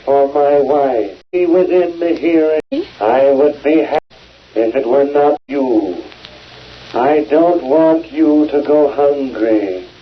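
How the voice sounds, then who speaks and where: An actor playing the spirit of a dead husband speaking at a séance, slow and halting, in old-time radio style. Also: male voice, medium pitch, close to an everyday voice. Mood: solemn, halting. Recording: dull old radio recording.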